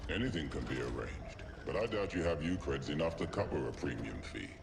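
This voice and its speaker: A man playing a gruff, curt underworld fixer in a video game, with a very deep gravelly voice and a dismissive manner. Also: sing-song, brisk, heavily acted. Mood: shrewd, skeptical. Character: gruff, hard-bargaining male underworld fixer, imposing and curt. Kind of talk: video game cutscene acting. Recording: noisy ambience behind.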